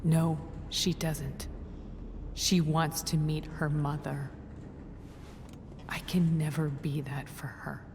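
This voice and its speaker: Resigned, guilt-worn mother in game drama: deep female register, measured and very unhurried, echoing space. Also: flat delivery, noisy background. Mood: bitter, resigned. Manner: measured, somber, terse. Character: broken, resigned, guilt-ridden estranged mother, weary and stubborn. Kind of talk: dramatic video game cutscene acting.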